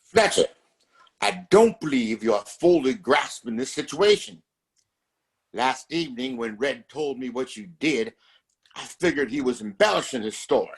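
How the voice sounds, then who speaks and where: A man's voice: mid-range voice, animated, moderate pace, slightly rough. An actor playing a domineering, contemptuous father and town boss in a Western audio play, speaking in a formal, commanding way. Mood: scolding. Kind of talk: Western audio drama acting.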